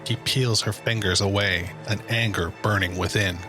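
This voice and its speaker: A man's voice: lowish voice, fairly even intonation, normal speed. A man giving scripted dramatic narration as the narrator of an audio drama. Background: music.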